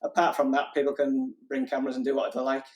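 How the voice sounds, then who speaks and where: A man's voice: mid-range voice, completely flat delivery, racing, darkish tone. A man, a wedding photographer chatting casually and telling anecdotes with the odd laugh.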